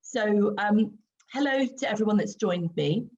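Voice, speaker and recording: A woman in her forties with a croaky voice hosting an online video-call webinar, chatty and full of ums. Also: mid-range voice, animated, unhurried, a little mellow, highs cut off, a little hiss or hum. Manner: chatty, many ums, light laughs. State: croaky voice after throat infection. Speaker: webinar host and skincare expert. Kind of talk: casual online presentation.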